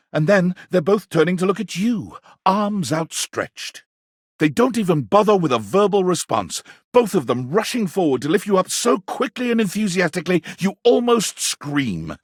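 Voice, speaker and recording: Suave game storyteller, husky, theatrical, tenderly amused, very lively intonation, dry studio sound. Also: male voice, mid-range voice, no room sound. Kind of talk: scripted second-person game narration.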